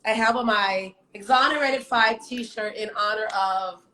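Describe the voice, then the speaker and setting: A woman's voice: on the high side, measured pace, sharp tone. A woman hosting a casual live chat in a playful, exuberant way. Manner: exuberant, playful, sing-song greetings. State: says she lost her voice that morning. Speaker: live show host. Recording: roomy echo, faint music.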